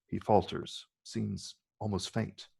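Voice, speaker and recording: A man's voice: lowish voice, level tone, takes it slowly, gravelly, dark tone, slightly rough. A man narrating stage directions in a scripted reading.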